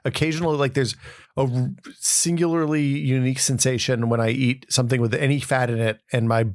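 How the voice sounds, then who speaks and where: American man, creaky voice, chatting casually. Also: medium pitch, fairly even intonation, a little fast, no room sound. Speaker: podcast host. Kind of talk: casual chat.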